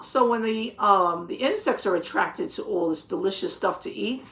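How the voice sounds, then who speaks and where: A woman reading a children's picture book aloud, pausing to explain things simply and put questions to the young listeners. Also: mid-range voice, a bit bright. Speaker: read-aloud teacher.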